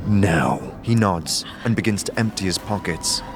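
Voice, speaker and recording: Low-voiced male fantasy narrator over music. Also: normal speed. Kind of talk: dramatic second-person fantasy storytelling.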